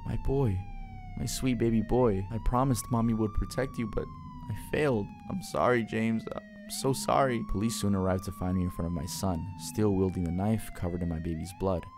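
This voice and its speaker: Guy voicing a horrified grieving mom telling her creepypasta, kinda low voice. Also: wildly swooping pitch, fast talker, few pauses, noisy background. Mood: grim, haunted. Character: grieving, horrified mother narrating a horror tale. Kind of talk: first-person horror story narration.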